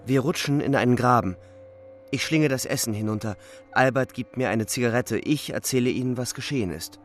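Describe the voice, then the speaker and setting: A young front soldier acted by a man recounts the war in terse present-tense lines. His voice sits in a middle register, in the style of a literary radio play. Clear music runs underneath. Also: medium pitch, fairly quick, lightly acted. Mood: tense, weary.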